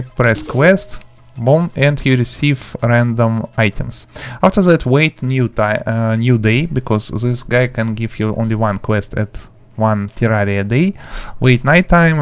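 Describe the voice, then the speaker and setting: A man's voice: mid-range voice, smooth. Man giving a game tutorial. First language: not English. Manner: halting, simple step-by-step instructions in non-native English. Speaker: gaming tutorial host. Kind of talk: informal video game tutorial commentary. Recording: music behind the voice. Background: background music.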